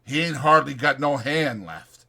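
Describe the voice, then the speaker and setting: A fair-minded, authoritative male ranch hand as a man reads him in a dramatized audiobook. He talks in a folksy, firm way with a bright, forceful tone. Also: medium pitch, measured pace, vocal fry, breathy edge, pitched above the performer's own voice, heavily acted. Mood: grave, decisive.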